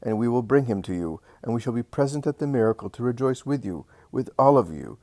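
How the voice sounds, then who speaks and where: A man's voice: medium pitch, expressive, fairly quick, mellow, no room sound, lightly acted. A man playing a pompous, mocking male religious elder, insinuating and insistent in questioning, in a dramatic story reading. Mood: coaxing. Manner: insistent, smooth-tongued questioning.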